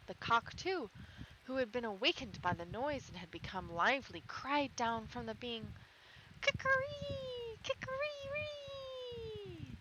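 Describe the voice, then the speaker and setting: A woman's voice: fairly high pitch, animated, normal speed, dull audio. A woman who hosts a stream, reading storybook tales aloud and speaking casually to listeners. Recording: clearly noisy background with clicks.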